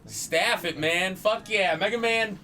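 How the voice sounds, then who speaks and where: A man's voice: high-pitched, slow. A man giving casual, profane gaming banter as a show host, boisterous and teasing, with video game music behind him.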